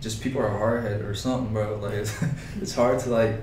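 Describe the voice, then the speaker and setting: A man hosting a podcast with a low-ish, flat voice. He asks easygoing questions and says "bro" a lot. He sits back from the mic in a very echoey room, with a faint rumble behind. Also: fairly quick, recorded from a distance. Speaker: podcast host. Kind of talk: casual podcast interview.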